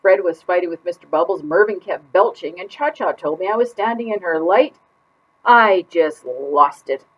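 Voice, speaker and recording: A woman's voice: on the low side, moderate pace, breathy edge. A woman reading a children's picture book aloud as a put-upon goldfish keeping a diary, with exaggerated, exasperated emphasis. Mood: frantic, fed up. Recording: dull lo-fi sound.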